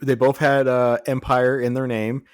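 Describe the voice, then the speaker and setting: A man's voice: mid-range voice, flat delivery, normal speed, clear, resonant tone, no room sound, studio quality. A man co-hosting a podcast, chatting casually about movies in a rambling, sardonic way. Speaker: podcast co-host.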